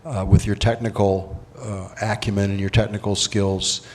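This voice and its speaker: A man on a conference panel giving earnest, measured career advice. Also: on the low side, little pitch movement. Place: conference hall. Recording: roomy hall with faint noise.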